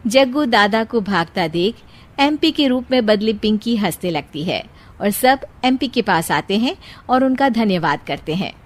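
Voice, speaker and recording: A woman's voice: mid-range voice, animated, normal speed. A woman narrator reading a scripted story.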